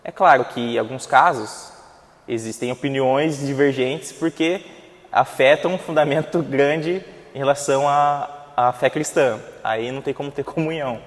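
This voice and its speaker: A man's voice: mid-range voice, moderate pace. A man with a Brazilian Portuguese accent teaching a Sunday school Bible class in a conversational way, with frequent fillers and restarts. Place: church hall. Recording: church hall with long reverb.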